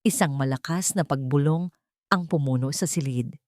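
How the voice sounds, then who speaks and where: A woman narrates a dramatic story in the first person. Her voice sits fairly low and is recorded very dry. She delivers the script in deliberate, short phrases. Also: a little mellow, no echo.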